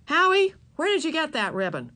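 A woman's voice: high-pitched, big pitch swings, brisk, sharp tone, voice pushed up, heavily acted. A woman doing a chirpy, fussy mother.

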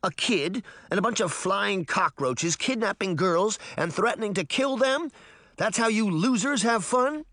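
A feisty, indignant young girl played by a male reader in a storybook. She is bossy and demanding, with a high pitch that leaps about in animated exclamations. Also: a little fast, hardly any pauses, dry recording, clean studio sound. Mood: furious.

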